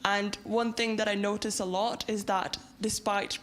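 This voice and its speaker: A woman's voice: mid-range voice, little pitch movement, a little slow, fairly bright tone, a little hiss or hum. A young woman from Brazil with a Brazilian accent, asking an earnest question from the audience.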